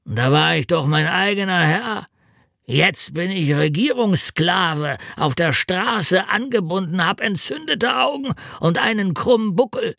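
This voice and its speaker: Hungover older road worker, high whiny groaning voice, slow dragging delivery. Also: male voice, lively intonation, creaky, pushed and bright, no room sound, clean studio sound, exaggerated voice. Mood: grumbling. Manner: groaning, wailing, slurred complaints. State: drunk and sick.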